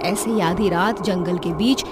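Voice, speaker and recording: A woman's voice: lowish voice, unhurried, background noise. Woman narrating a horror cartoon. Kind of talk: scripted storytelling narration.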